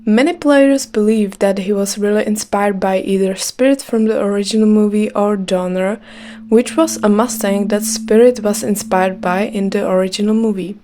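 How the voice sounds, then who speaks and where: A woman's voice: mid-range voice, moderate pace, warm tone. A young Czech woman with a light Czech accent, reading a scripted gaming video with care. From Czech Republic. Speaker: gaming YouTuber.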